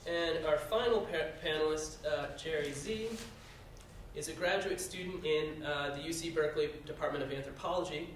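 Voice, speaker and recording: A man's voice: a little high, normal speed, a little hiss or hum. A man moderating a conference panel, reading the speakers' bios aloud with frequent "uh" fillers. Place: conference room. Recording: very echoey room, heard from a distance.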